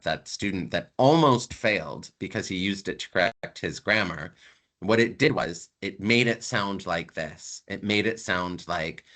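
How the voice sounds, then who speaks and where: Casting note: male lecturer raised in Canada, low pitch, bright edge, chatty and emphatic on a video call. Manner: conversational, emphatic, repeats words for stress. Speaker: university lecturer. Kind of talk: online lecture to students.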